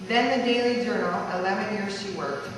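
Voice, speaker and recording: A woman reads a rhyming tribute aloud in a very echoey hall, picked up from a distance. There is clear noise around her medium-pitched voice. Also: brisk. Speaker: relative reading a birthday tribute. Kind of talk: reading a rhyming life story aloud. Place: large echoey room at a family party. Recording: echoey hall from far off, noisy.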